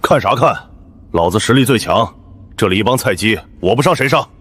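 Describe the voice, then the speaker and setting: A man playing a swaggering, coarse, foul-mouthed brawler in an animated drama. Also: fairly low pitch, fairly quick, slight background noise. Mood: boastful. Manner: coarse, boastful. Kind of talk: animated web drama acting.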